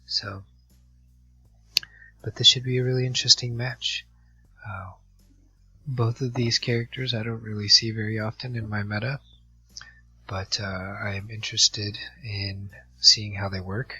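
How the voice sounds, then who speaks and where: A hoarse man with a cold commentating an online card game match, reading out card text with many halting fillers. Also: lowish voice, flat delivery, sharp tone. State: hoarse from a virus. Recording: dull lo-fi mic with faint music.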